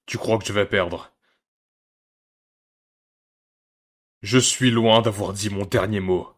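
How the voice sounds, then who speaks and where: A man's voice: lowish voice, fairly even intonation, normal speed, natural voice. A man playing a proud, bitter mercenary killer in an anime fan dub, with a brooding, dramatic delivery. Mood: scornful, defiant.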